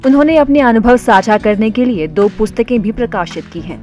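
A woman narrating a news feature in a steady, formal voice-over. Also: on the high side, fairly quick, lo-fi sound, soft background music.

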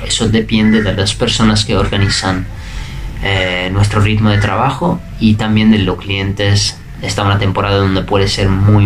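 Young Italian man, lower voice, easygoing interviewee feeling his way through Spanish, filmed in a bedroom with faint noise behind. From Italy. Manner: relaxed, searching for words in a second language. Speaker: interviewee, waiter. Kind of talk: casual interview answers. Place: bedroom indoors.

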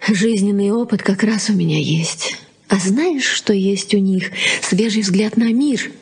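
Breathy, earnest middle-aged wife. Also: female voice, medium pitch, measured pace, a little mellow. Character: thoughtful, weary middle-aged wife and mother. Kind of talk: Soviet radio drama acting.

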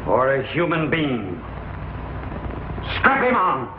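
A man's voice: mid-range voice, wildly swooping pitch, slow. A man playing a scheming, taunting outlaw villain in a 1950s television space opera, with theatrical delivery. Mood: menacing, taunting. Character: scheming male outlaw villain, menacing and smooth-tongued. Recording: muffled, noisy, echoey 1950s TV soundtrack.

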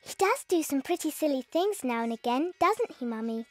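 An actress playing a clever, composed, innocent-sounding little English daughter in a children's audio drama. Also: female voice, sits high, animated, brisk, no echo. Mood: mock-innocent.

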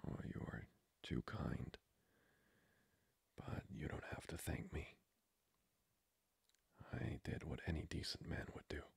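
A flirty but hesitant male vampire played by a man in an ASMR roleplay. His voice is extremely low and whispered, with heavy breath blurring it. He speaks slowly and haltingly, full of restrained hunger. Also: gravelly, husky, no echo, full character voice. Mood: shy concern.

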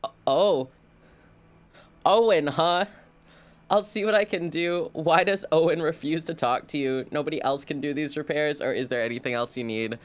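Playful man chatting on a game livestream. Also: on the high side. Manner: playful, rambling, quick to joke. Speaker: game streamer. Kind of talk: casual livestream commentary, reading dialogue options aloud.

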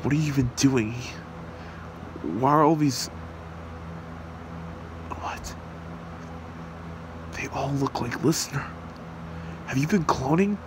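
A man's voice: mid-range voice, slow pace, pauses often, voice pushed up, lightly acted. A man playing an earnest, devoted young hero boyfriend in an amateur ASMR roleplay. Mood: confrontational. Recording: steady hiss and hum behind voice.

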